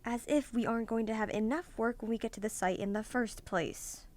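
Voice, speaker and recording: A woman acts out an irritable young traveler in an audio drama. The voice sits fairly high and is snippy and pompous, forever complaining with dry sarcasm. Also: steady tone, fairly quick, a little mellow, natural voice. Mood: sarcastic. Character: irritable, sarcastic young complainer called Walter on a road trip. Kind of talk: student audio drama acting.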